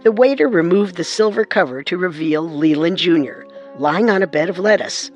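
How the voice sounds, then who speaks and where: A woman co-hosting a historical radio drama, giving measured, scripted documentary narration. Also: fairly low pitch, a little mellow, highs cut off, faint music behind. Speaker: narrator and co-host.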